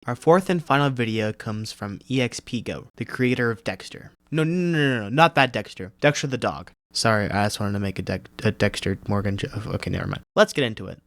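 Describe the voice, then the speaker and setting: A man's voice: fairly low pitch, a little fast, hardly any pauses, no echo. A man hosting a video review, narrating and commenting from a script. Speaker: YouTube host reviewing horror videos.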